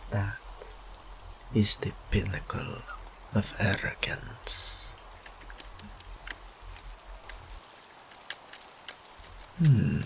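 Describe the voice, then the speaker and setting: Man voicing a slow, deep, whispery incubus demon. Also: fairly bright tone, slight background noise, exaggerated voice, low-quality recording. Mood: taunting, seductive. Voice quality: breathy, often dropping into whisper. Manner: slow, teasing, drawn-out phrases with pauses. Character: seductive arrogant male incubus demon, taunting and predatory. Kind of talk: intimate roleplay audio drama.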